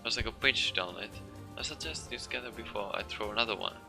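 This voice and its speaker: A man voicing a fierce, foul-mouthed ancient woman in a storybook reading, gruff and scolding. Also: fairly low pitch, moderate pace, music in the background. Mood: enraged.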